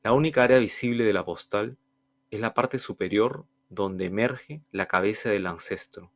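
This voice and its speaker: Low-voiced man reading slowly. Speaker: artist reading his statement. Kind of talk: scripted reflective narration.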